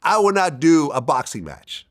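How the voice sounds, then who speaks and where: A man in his fifties, a guest in a candid interview, telling stories bluntly and dropping his voice low for emphasis. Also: normal speed, no room sound, studio quality. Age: 50s. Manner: blunt, animated storyteller who drops his voice low for emphasis.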